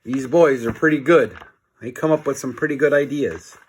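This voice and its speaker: A man reading a children's story aloud in an expressive, playful way, with dramatic pauses and asides to the listeners. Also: mid-range voice, a little hiss or hum, poor audio.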